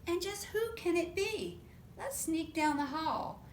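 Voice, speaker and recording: Woman, high voice, unhurried pace, expressive children's storytime reader, animated, questioning, roomy echo. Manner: animated, asks young listeners questions. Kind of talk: expressive picture-book read-aloud for young children. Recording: roomy echo with faint low rumble.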